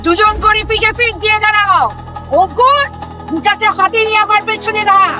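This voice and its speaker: A woman's voice: very high voice, a little fast. A woman playing a stern, commanding prehistoric clan leader in a Bengali audio drama, barking orders and threats. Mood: tense, urgent. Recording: loud dramatic music under voice.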